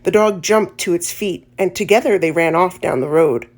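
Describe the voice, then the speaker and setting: A woman reading a children's story aloud as an audiobook narrator, in an expressive storytelling manner. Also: fairly low pitch, normal speed.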